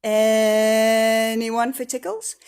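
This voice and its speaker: A woman voicing an excitable, whiny male storybook character who protests dramatically. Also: fairly high pitch, very slow, clean tone. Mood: gleeful.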